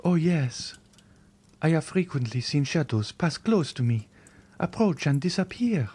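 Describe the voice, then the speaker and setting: A man's voice: a little high, big pitch swings, a little slow, mellow, slightly rough, pitched above the performer's own voice, full character voice. A man voicing a frightened, sickly young noblewoman who pleads in breathless exclamations. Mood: anxious.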